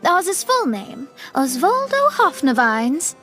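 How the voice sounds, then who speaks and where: An actress voicing a composed young woman adventurer who reasons aloud in a measured way. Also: high-pitched, very animated, a bit bright, exaggerated voice. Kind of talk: game voice acting. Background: music.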